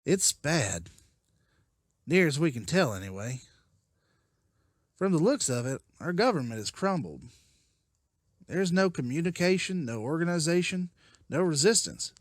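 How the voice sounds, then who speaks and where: A man playing a weary, earnest leader of survivors, serious and halting, in a comic drama. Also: a little high, sing-song, no room sound, clean studio sound. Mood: grim, weary.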